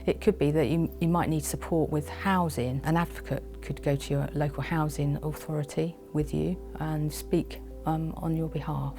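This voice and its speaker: A woman's voice: low voice, fairly even intonation, moderate pace, hardly any pauses, over music. A woman from a support charity, plainly explaining the services it offers. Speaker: charity advocate. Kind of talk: explanatory talk.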